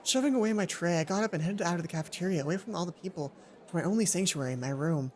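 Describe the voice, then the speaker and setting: A woman reading a visual novel aloud as a let's player, with expressive, dramatic storytelling. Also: lowish voice, very fast.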